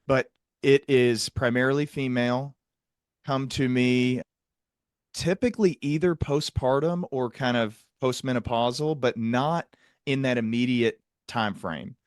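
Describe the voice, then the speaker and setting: A man speaks as the host of a clinical interview podcast. His pitch sits in the middle and the sound is very dry. He is earnest and wordy, rephrasing as he reflects at length. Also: a little slow, clean tone, dry recording. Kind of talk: conversational interview with long reflective remarks.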